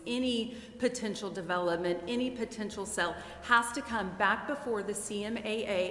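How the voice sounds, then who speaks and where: A woman giving a careful, deliberate and formal explanation as a legal advisor at a public council meeting. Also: medium pitch, a bit bright. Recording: echoey hall, clear background noise.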